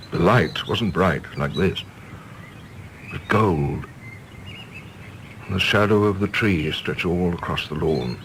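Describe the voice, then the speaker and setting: An actor playing a modest, wistful gentleman in a radio drama, polite, understated and reflective. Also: sits low, animated, slow pace, long pauses, slight background noise, exaggerated voice.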